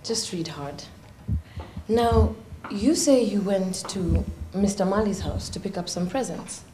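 A woman playing a composed, probing defence lawyer in a TV courtroom drama, with a Kenyan accent and measured, formal questioning. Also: mid-range voice, dark tone, lightly acted. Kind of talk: TV courtroom drama cross-examination. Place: indoor courtroom set. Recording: roomy echo with faint noise.

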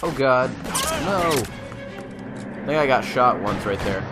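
A man's voice: medium pitch, lively intonation, slow. A man giving casual, slangy gameplay commentary, playful and exclaiming, with some swearing. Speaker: gamer doing a video game walkthrough. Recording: game music behind the voice. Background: game music and vehicle sounds.

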